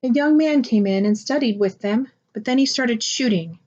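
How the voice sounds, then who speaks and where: A woman voicing a calm, grave, caring father in a picture book read aloud. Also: on the high side, normal speed, muffled recording, lightly acted. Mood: solemn explaining.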